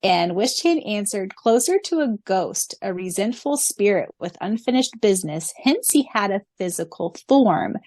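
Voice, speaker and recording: A woman's voice: mid-range voice, expressive, normal speed, few pauses, a little mellow, dry recording. A woman co-hosting a casual podcast, reading out questions and an author's answers and laughing often. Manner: giggly, reads answers aloud. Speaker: podcast co-host.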